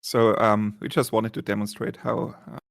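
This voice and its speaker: A man with a lowish, creaky voice casually explains a game run as co-commentator. His sound is close and dry, with no room around it. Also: little pitch movement, normal speed, no room sound. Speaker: speedrun co-commentator.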